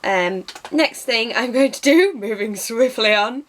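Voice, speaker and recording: Young woman, slightly hoarse, chatty and self-deprecating, home webcam vlog. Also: fairly high pitch, lively intonation, a little slow, fairly bright tone. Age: young adult. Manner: chatty and self-mocking, lots of ums and restarts. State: slightly hoarse, losing her voice. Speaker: beauty vlogger. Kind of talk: casual makeup tutorial chat. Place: bedroom with bookshelves, indoors.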